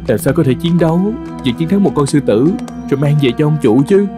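A man's voice: fairly high pitch, expressive, a little fast, lightly acted. Man voicing a weary, plaintive old horse. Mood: dejected, resigned. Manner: plaintive, resigned, sighing. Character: weary, despondent old male horse, loyal and cast out. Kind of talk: animated folk tale dialogue. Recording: music under the voice.

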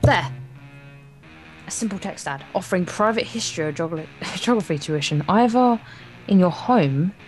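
Low-pitched woman reading a visual novel. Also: expressive, normal speed. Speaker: let's play co-host. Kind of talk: reading visual novel aloud with casual banter. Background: soft guitar music.

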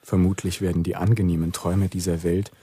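A detached male narrator for a radio play, formal and deadpan. His voice is very deep and dark, recorded close and dry. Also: a little fast, husky, no room sound. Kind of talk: scripted narration.

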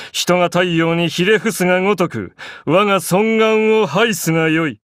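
An actor playing an arrogant, grandiose young pharaoh in an anime game, declaiming in an imperious, pompous way. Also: male voice, a little high, fairly bright tone, clean tone, no echo, studio quality. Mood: proud, commanding.

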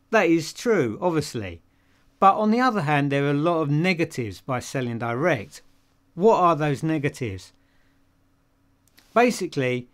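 An older man with a British accent giving a casual product review to camera in a conversational, emphatic way, posing questions and answering them himself. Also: medium pitch. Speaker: audio dealer and video reviewer. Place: small home office room, indoors.

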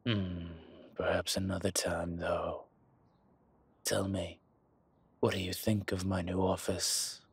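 A very husky, rough-voiced dragon crime lord, acted out by a man, speaks slowly and deep, close and dry. He is suave and arrogant, savoring his words with deliberate pauses in an intimate audio roleplay. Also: little pitch movement, no echo, exaggerated voice. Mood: smug, teasing. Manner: slow, deliberate, pausing, smooth-talking. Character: suave, arrogant male dragon mob boss, older, fond of luxury. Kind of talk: intimate scripted roleplay audio, one-sided conversation.

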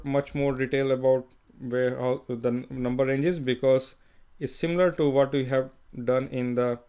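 A man walking through a screen-recorded software tutorial, plainly explaining each step with fillers and self-corrections. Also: mid-range voice, clear, resonant tone. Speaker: software trainer.

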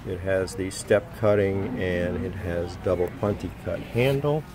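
A man selling antiques, calmly describing each item and its price, with frequent pauses and ums, filmed on a phone at a show booth. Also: low-pitched, a crowd in the background. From United States. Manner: slow, matter-of-fact, pausing with ums. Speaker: antiques dealer. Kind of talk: walking sales tour of items. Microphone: phone camera held while filming. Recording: noisy show floor behind.

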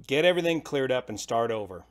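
A man's voice: mid-range voice, lively intonation, quick pace. A middle-aged American man giving informal, colloquial explanatory commentary as an aviation host.

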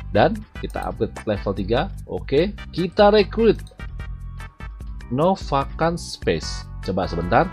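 Chatty man, higher-than-average voice, over music. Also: sing-song, takes it slowly, a little mellow. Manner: chatty, addresses viewers as "guys". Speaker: gaming YouTuber, host. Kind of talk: casual gameplay commentary to camera. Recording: music behind the voice.

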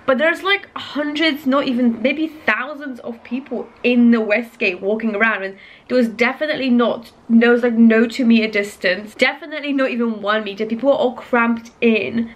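A woman's voice: a little high, highs cut off. A young woman in her twenties chatting casually to the camera at home, giggling and rambling with lots of fillers. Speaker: vlogger. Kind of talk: casual vlog chat to camera. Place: home kitchen and living room. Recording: roomy indoor echo.

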